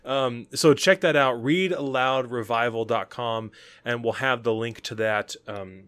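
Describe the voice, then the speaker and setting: A man's voice: mid-range voice, a little slow, fairly bright tone, clean tone, dry recording. A man co-hosting a podcast in casual chat, joking and laughing often. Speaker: podcast co-host.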